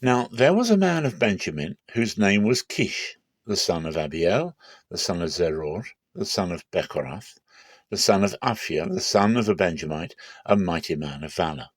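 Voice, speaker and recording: A man's voice: deep voice, lively intonation, moderate pace, dry recording. A man reading scripture aloud as dramatic, scripted narration in a theatrical manner. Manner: theatrical, acts out quoted speech. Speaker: Bible reader.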